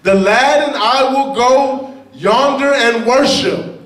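High-voiced preacher in an echoing hall. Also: male voice, slow pace, far from the mic. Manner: emphatic, bursts into shouted lines. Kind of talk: preaching a sermon, reading scripture. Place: large church hall with a pulpit. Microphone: handheld mic. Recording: echoey hall, heard from afar. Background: congregation responding.

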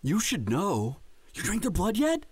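A teasing male vampire friend, played by a man in an audio drama. His delivery is unhurried but extremely animated, swinging widely in pitch. He sounds laid-back and playful, recorded very dry. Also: breathy edge, dry recording, heavily acted. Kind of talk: audio roleplay acting.

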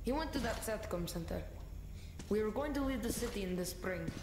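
A woman's voice: mid-range voice, expressive, a little fast, close to an everyday voice. Plucky young boy voiced by a woman, echoey. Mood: earnest. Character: eager, plucky young boy, a child private. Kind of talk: video game character acting. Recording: echoey game scene with background noise.

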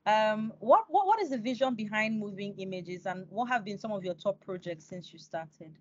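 A woman's voice: medium pitch, expressive, moderate pace, dry recording, muffled recording. A young woman hosting an interview podcast over a video call. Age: young adult. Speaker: podcast host. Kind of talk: scripted introduction and interview questions.

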